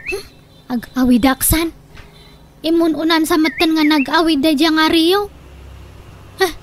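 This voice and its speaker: High-pitched lovestruck young daughter played by a woman. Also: a little slow. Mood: puzzled. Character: lovestruck young daughter, pleading and defiant with her mother. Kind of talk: Ilocano comedy radio drama acting. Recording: faint background noise.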